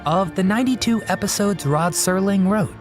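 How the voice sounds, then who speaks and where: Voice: medium pitch, normal speed. A narrator reading a scripted documentary-style video in a presentational way. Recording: music bed behind the voice. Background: music.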